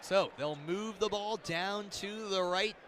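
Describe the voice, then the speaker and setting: A man doing live radio play-by-play of a basketball game, an excitable announcer who builds to loud calls on big plays. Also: high-pitched, slow. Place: courtside in a large indoor arena. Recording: crowd noise, bouncing ball in arena. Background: arena ambience, basketball bounces.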